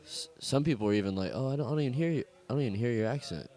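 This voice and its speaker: A man's voice: medium pitch, lively intonation, rapid-fire, dark tone. A young man with a Southern US accent, talking casually and ramblingly in an interview about his music, swearing now and then. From American South. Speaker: musician guest.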